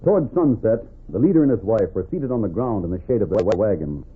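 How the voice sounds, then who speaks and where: A man's voice: on the low side, lively intonation, quick pace, slight background noise, natural voice. A man narrating a western adventure serial in scripted 1940s radio style, on a thin old recording. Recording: tinny, band-limited 1940s radio recording. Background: music.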